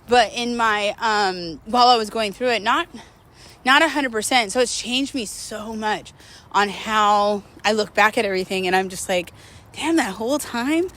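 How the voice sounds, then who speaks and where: A woman's voice: fairly high pitch, fairly bright tone. A woman talking casually and confessionally to her viewers, in a candid, rambling, emphatic way with slangy wording. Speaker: host of a personal vlog series.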